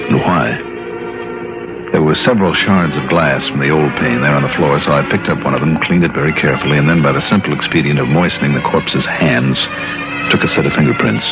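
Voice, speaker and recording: An actor playing a cool, persistent, skeptical investigator in a vintage radio drama, measured and probing as he talks and narrates. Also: rumbling low. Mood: cool narrating. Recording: dull vintage radio recording with music.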